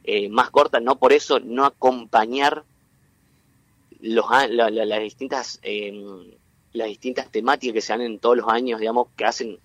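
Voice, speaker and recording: Man, Entre Ríos Argentine accent, mid pitch, halting, filler-heavy, dry recording. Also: fairly even intonation, fairly bright tone, no echo. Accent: Entre Ríos Argentine Spanish. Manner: halting, many fillers and restarts. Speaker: guest, tech cluster president. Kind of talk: interview, explaining at length.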